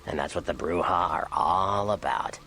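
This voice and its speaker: Very deep, gravelly American vampire informant. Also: male voice, moderate pace, bright, forceful tone. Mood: scornful. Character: cynical, sly male Nosferatu vampire informant. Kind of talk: video game character dialogue.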